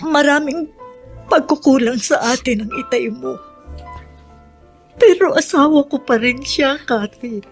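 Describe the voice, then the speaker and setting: An actress playing a patient, long-suffering middle-aged Filipina mother with a husky voice in a radio drama. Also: sits high, unhurried, long pauses, faint music behind. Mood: pleading, sorrowful.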